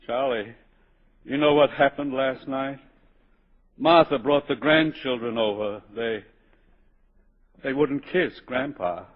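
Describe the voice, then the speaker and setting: An actor playing an older, dignified but flustered judge in a 1940s radio drama, hesitant and pompously judicial. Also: male voice, medium pitch, slow, pauses often. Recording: muffled vintage 1940s radio broadcast.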